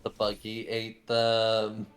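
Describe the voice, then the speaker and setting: A man chatting casually as co-commentator on a game livestream, with deadpan jokes. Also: fairly low pitch, takes it slowly, faint music behind. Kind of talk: casual chat.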